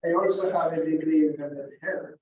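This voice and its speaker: A male chaplain with an accent giving a deliberate teaching talk, putting questions to his listeners. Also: a little high, fairly even intonation, muffled recording. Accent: non-native English accent. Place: large echoey hall. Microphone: distant from the mic. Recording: echoey hall from far off, faint noise.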